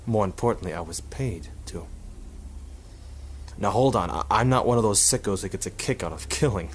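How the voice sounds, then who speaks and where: A man playing a coolly proud 1950s hitman in a noir radio drama, confiding in a deliberate, wry way. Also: on the low side, slight background noise, close to an everyday voice. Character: coolly proud 1950s hitman photographer narrating his confession.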